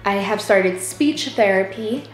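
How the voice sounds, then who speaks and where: A woman's voice: medium pitch, slow pace, reverberant, soft background music, poor audio. A woman, talking candidly and wryly in a casual personal update vlog. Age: 20s. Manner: candid, wry, self-deprecating. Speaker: vlogger.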